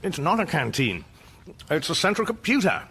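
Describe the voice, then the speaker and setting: A man's voice: on the high side, very animated, normal speed, creaky, sharp tone, a little hiss or hum, heavily acted. An actor playing a fretful, awkward, peevish Englishman with an English accent in a radio comedy. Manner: hesitant, peevish. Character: fretful, awkward ordinary Englishman adrift in space. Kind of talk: radio comedy drama acting.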